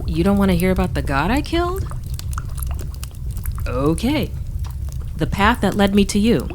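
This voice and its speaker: A woman's voice: mid-range voice, big pitch swings, normal speed, a little mellow, slight background noise, natural voice. An actress playing a sardonic woman engineer who investigates the paranormal, narrating an audio drama in an informal, irreverent way. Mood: wry. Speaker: protagonist narrator.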